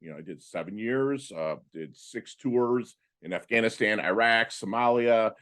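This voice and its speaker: A man in his fifties, a podcast guest, telling his life story in a casual, animated way with many fillers and restarts. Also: fairly low pitch, measured pace. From Philippines. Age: 50s. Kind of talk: casual interview talk.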